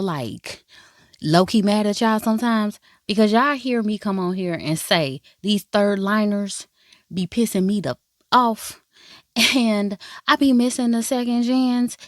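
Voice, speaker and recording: A woman's voice: on the high side, a little slow, dry recording, studio quality. Chatty, swearing woman drama vlogger. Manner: chatty, emphatic and playful, swears freely; talks straight to viewers, calling them baby and y'all. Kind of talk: casual first-impressions review.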